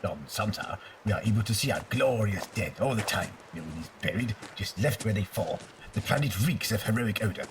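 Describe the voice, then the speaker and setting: A man's voice: lowish voice, animated, fairly quick, background noise, close to an everyday voice. A man playing a pompous, bloodthirsty alien warrior servant in an audio drama, literal-minded, barking and scornful of humans. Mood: pompous bemusement.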